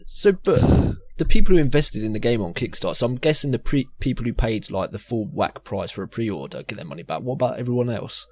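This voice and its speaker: A man's voice: mid-range voice, expressive, fast talker, few pauses, a little mellow. A man co-hosting a podcast in casual conversation. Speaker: podcast co-host. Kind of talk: casual chat.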